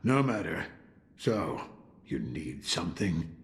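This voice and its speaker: An actor playing a weary, gruff old hermit warrior in a game cutscene, gravelly, terse and brooding. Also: male voice, rumbling low, sing-song, slow pace, heavily acted. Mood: gruff, guarded. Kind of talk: video game cutscene acting. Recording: long hall reverb.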